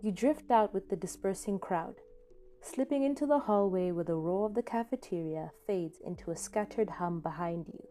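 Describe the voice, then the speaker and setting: A woman reads scripted fiction as a podfic narrator. Her voice is mellow and relaxed, sitting at a middle pitch. Faint music plays behind her. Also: moderate pace. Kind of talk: scripted story narration in second person. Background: soft background music.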